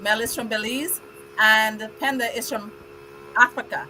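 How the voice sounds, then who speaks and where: A woman's voice: on the high side, steady tone, unhurried, sharp tone, slight background noise, rough recording. A middle-aged woman from Guyana hosting a live online show, welcoming guests and reciting a rhyming poem in an upbeat, rhythmic way. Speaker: host.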